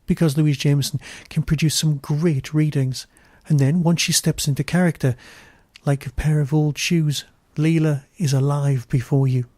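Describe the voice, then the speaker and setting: Mellow male podcast host, slightly high-pitched. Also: steady tone, moderate pace, no echo, clean studio sound. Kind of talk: conversational podcast review.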